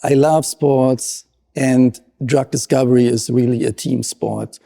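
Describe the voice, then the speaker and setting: German-accented man, middle-aged, gravelly, very dark tone, earnest scientist guest. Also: mid-range voice, steady tone, unhurried. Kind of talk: enthusiastic explanatory interview talk.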